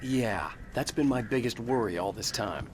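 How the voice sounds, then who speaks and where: A man's voice: mid-range voice, lively intonation, moderate pace, background noise, natural voice. An actor playing an intense, brooding male thriller writer in a horror game, with a dry, sardonic manner. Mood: dry sarcasm. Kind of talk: narration and dialogue in a horror game. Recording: loud music over the voice.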